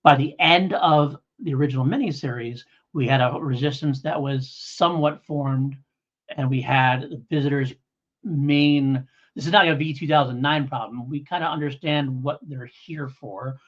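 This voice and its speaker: A man with a husky voice talks casually as a podcast co-host. He rambles and hedges as he works through his opinions conversationally. The recording is slightly dull and lo-fi. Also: medium pitch, moderate pace. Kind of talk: casual podcast review chat.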